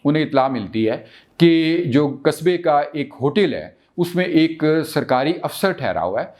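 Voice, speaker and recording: A man with a Pakistani accent speaks to viewers as a political analyst and show host, deliberately and with frequent drawn-out hesitations. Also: fairly high pitch, expressive, darkish tone. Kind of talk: political commentary and storytelling to viewers.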